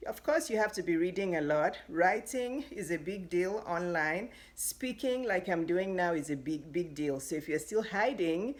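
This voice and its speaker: A woman with a Nigerian accent talks in a low, easy voice, coaching her listeners on getting ahead. She is chatty and encouraging and laughs lightly between phrases. She sounds middle-aged and speaks straight to her audience. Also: lively intonation, moderate pace, hardly any pauses. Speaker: life coach. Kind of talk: casual motivational talk to camera.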